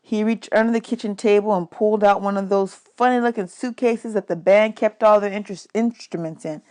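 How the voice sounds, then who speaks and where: A woman's voice: mid-range voice, steady tone, fairly quick, a little mellow, no echo. Woman reading a children's novel aloud, dry sound. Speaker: audiobook reader, teacher.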